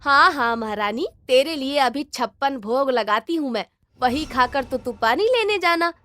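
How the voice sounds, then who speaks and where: A woman voicing a cruel stepmother in an animated moral story, scolding with loud, sarcastic taunts. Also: high voice, measured pace, full character voice. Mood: mocking, angry.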